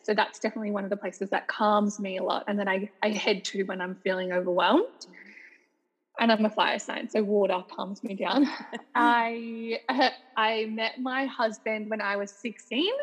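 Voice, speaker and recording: An Australian woman in her thirties, a salon owner chatting as a podcast guest, telling her story in a lively, laughing way. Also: medium pitch, breathy edge, low-quality recording. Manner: chatty, laughs often, quick restarts. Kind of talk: casual podcast interview.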